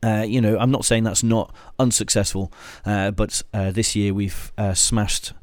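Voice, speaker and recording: A middle-aged British man with a slightly husky voice from a cold, talking alone into a microphone in a small studio, chatty and self-deprecating with many fillers. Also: lowish voice, steady tone, unhurried, a little mellow, dry recording, faint music behind. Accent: British English. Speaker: podcast host, photographer. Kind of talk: solo podcast monologue. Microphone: studio microphone with headphones.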